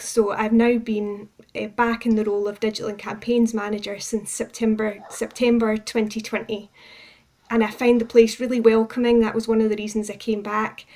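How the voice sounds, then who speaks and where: A woman's voice: fairly high pitch, a little mellow. A woman with a Scottish accent giving explanatory answers as a charity manager in a radio interview.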